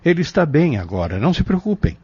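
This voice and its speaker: A Brazilian man playing a calm, reserved pharmacist in an audio drama, polite and measured with gentle authority. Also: on the high side, husky, exaggerated voice. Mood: reassuring. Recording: dull lo-fi audio.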